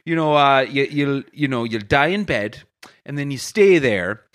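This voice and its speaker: Man voicing a rambling Irish bar-owner comic, mid pitch, dead-dry close sound. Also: lively intonation, normal speed, dry recording, close to an everyday voice. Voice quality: rambling, chuckling mid-sentence. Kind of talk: improvised comedy storytelling.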